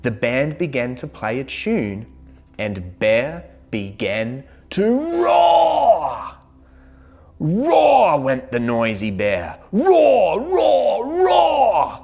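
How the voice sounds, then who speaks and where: Female picture-book reader for young kids: low voice, slow delivery, expressive and dramatic, with big swings in pitch. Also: faint music behind. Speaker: children's story reader and host. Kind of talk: animated read-aloud of a rhyming picture book.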